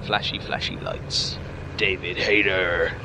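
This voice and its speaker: A man's voice: low voice, sing-song, takes it slowly, vocal fry, very noisy. A man giving casual let's-play commentary as a gaming host, rambling and jokey with some swearing. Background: game music.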